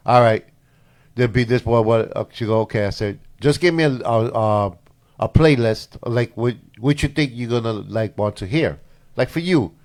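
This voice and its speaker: A man of mid pitch hosts a relaxed talk show. He chats easily and tells long anecdotes in plain everyday speech. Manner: animated storyteller, gives shout-outs. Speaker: co-host and DJ. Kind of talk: casual podcast chat.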